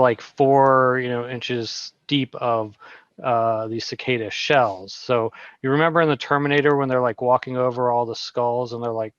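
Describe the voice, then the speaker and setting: A man's voice: medium pitch, clean tone, no room sound, dull audio. A man co-hosting a podcast, telling stories animatedly and putting questions to the others. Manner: animated storytelling, asks guests questions. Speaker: co-host. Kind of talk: casual podcast chat.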